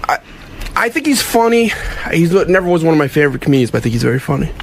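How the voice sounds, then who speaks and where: A man's voice: on the high side, big pitch swings, quick pace, gravelly, a little hiss or hum. A man on a radio show's staff chatting casually in a sheepish, pleading way. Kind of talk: casual chat. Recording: busy room with background chatter.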